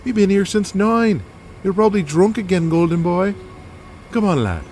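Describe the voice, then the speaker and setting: Audio drama wrestler, played by a man: boisterous, mocking, foul-mouthed, pitched high and forceful. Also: expressive, normal speed, slight background noise. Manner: mocking, profane, needling. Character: sweaty, taunting male pro wrestler, mocking and boisterous.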